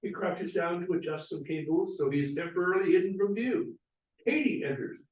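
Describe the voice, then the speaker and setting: High-voiced man reading stage directions. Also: fairly quick, a little mellow. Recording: echoey room, distant mic.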